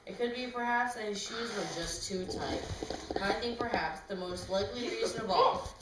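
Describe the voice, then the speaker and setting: A woman's voice: medium pitch, normal speed. A woman reading a children's rhyming storybook aloud in an informal way. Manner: informal, with asides to people nearby. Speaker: reader of a storybook. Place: echoey room, away from the mic. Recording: very echoey room, distant mic, clear noise.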